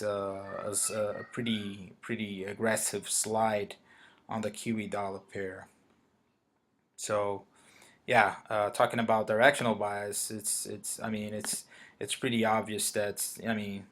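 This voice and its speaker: A man from Brazil, a native Portuguese speaker with a Brazilian Portuguese accent, walks through a currency trade at his computer in a hesitant manner, pausing often and restarting sentences. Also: lowish voice, little pitch movement, a bit bright. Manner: hesitant, many fillers and restarts. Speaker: forex trader. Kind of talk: screen-recorded trading walkthrough.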